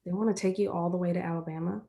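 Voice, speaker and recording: A woman's voice: on the low side, fairly even intonation, very fast, mellow, lightly acted. Woman acting a loyal country girl in a play reading, folksy, earnest, always reasoning things out to reassure. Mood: tender.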